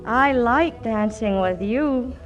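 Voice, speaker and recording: High-voiced, girlish, nervous young woman. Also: animated, slow pace, faint music behind. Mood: shy, pleading. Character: nervous young woman passing as a sweet schoolgirl. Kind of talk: 1940s radio comedy acting. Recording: 1940s radio broadcast recording.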